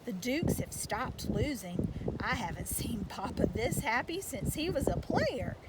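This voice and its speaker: A woman's voice: fairly high pitch, sing-song, unhurried. A woman reading a children's storybook aloud as narrator. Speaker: reader of a children's book. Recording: loud background noise.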